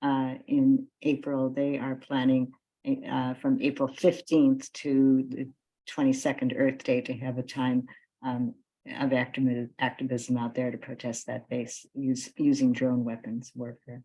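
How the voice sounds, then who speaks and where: A woman with a distinctly low voice speaks as a guest at an online activist meeting. Her delivery is informal, appreciative and encouraging, addressed to fellow participants over a computer call. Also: completely flat delivery, unhurried, mellow, low-quality recording. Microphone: computer video call.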